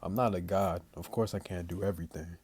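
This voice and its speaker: A young man hosting a gaming video, reading visual novel lines aloud in a casual, halting way. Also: deep voice, steady tone, darkish tone, slightly rough. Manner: halting, stumbling reader.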